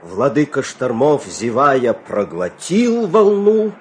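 A man narrating a fairy-tale audio play in an expressive, scripted storytelling style. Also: medium pitch, slow, a little hiss or hum.

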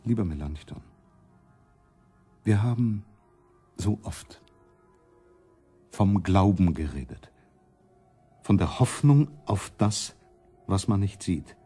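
A self-important male reformer in a radio drama. His low, slightly husky voice is emphatic and declamatory, defiant in temper, with faint music about the production. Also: animated, takes it slowly, long pauses, warm tone, heavily acted. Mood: solemn, calculating. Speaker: actor in a radio play. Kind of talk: German radio drama acting.

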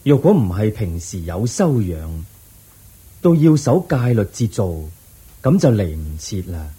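A man's voice: lowish voice, very animated, exaggerated voice. A man playing the Buddha, patiently teaching an official in a Buddhist radio drama. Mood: serene. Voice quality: husky, dark, unhurried. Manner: gentle, patient preaching. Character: calm wise male Buddha teaching an official.

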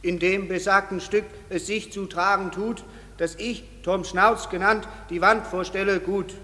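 A man's voice: high-pitched, fairly even intonation, a little slow, sharp tone. An actor playing a nervous, simple tradesman who stiffly recites rhymed radio play verse, earnest and prone to stumbling. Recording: reverberant hall.

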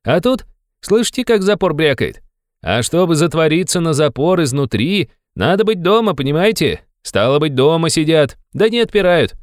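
A man voicing an excited, quick-witted very young man with a ringing, eager way of explaining in an audiobook of a classic novel. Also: sits high, clear, resonant tone, no room sound, clean studio sound, pitched above the performer's own voice. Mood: excited, insistent.

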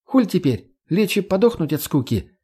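A man voicing a brash, foul-mouthed, mocking young woman in an audiobook, with crude, lively delivery. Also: high voice, moderate pace, breathy edge, voice pushed up, exaggerated voice. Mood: teasing. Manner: crude, slangy, loudly expressive.

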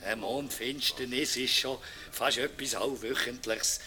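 A man playing a gruff, dismissive village innkeeper in a radio play, speaking matter-of-factly. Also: medium pitch, steady tone, a little slow, sharp tone.

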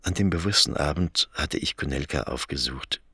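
An actor playing a weary, embittered man in a radio play, giving a sober, measured confessional monologue. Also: a bass voice, sharp tone, husky, no echo, studio quality, exaggerated voice. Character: weary, embittered man reading his farewell letter.

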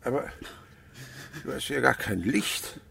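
An actor playing a gruff, sly, miserly older husband and uncle in a radio drama, grumbling and sarcastic. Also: male voice, medium pitch, lively intonation, slow, pushed and bright, a little hiss or hum, exaggerated voice. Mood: feigned kindness. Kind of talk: German radio drama acting.

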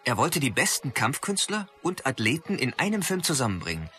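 A man's voice: medium pitch, wildly swooping pitch, a little fast, pushed and bright. A man playing a keen, knowledgeable film buff explaining things to a friend in a scripted radio play. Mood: keen, knowing.

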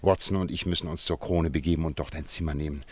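A commanding radio-play detective with a low-pitched voice. He speaks deliberately and with formal courtesy. His manner is brisk, analytical and fully in charge. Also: fairly even intonation, darkish tone.

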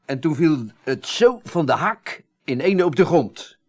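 Earnest, seasoned electrical contractor. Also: male voice, fairly high pitch, lively intonation, measured pace. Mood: earnest explaining. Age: middle-aged. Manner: explanatory, courteous. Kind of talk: Dutch radio drama acting.

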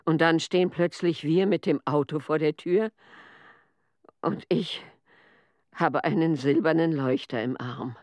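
A man's voice: a little high, fairly even intonation, a little slow, long pauses, no room sound, lightly acted. An actor with a male voice playing a shaken survivor who gives grave narrative testimony in a documentary radio drama. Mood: grave, troubled. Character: shaken survivor recounting a family's flight.

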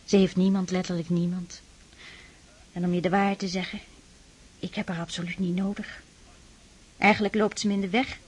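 An actress playing a shy, devout aristocratic sister in a Dutch radio drama, speaking earnestly and tenderly, with pleading admonishment. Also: female voice, lowish voice, flat delivery, moderate pace, long pauses, slightly rough. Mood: shy, confiding.

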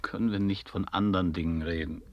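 A man's voice: deep voice, fairly even intonation, a little fast, lo-fi sound. An actor playing an uneasy, evasive, grumbling man in a radio play, with dry, terse, irritable delivery. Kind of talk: absurdist German radio play acting.

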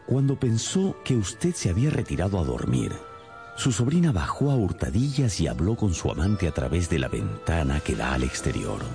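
A gentleman detective in a radio drama, deep-voiced and very expressive. He is confident and methodical, putting things with polite, measured authority over a music bed. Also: creaky, heavily acted. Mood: grave, firm.

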